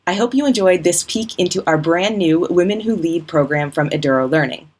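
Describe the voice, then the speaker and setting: Husky woman reading a program outro. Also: lowish voice, fairly quick, a little mellow. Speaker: host and company founder.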